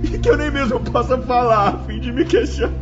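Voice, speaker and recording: A man's voice: very high-pitched, a little fast. A man playing the anguished, repentant spirit of a cruel slave trader in a radio drama, pleading and wailing tearfully. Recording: muffled radio drama under loud music.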